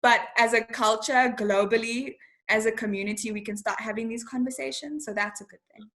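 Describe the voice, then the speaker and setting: A woman's voice: fairly high pitch, fairly even intonation, a little fast, a bit bright. A young woman from Zimbabwe, a TV host talking as an interview guest, speaking frankly and animatedly with casual slang.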